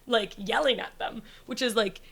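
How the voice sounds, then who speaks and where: A woman with a naturally raspy yet bright, forward voice, chatting loosely on a podcast. She rushes through her thoughts with lots of filler words and restarts. She breaks into laughter often. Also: a little high. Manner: talks in a rush with many likes and restarts, laughing often. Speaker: comedian guest. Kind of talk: casual podcast chat.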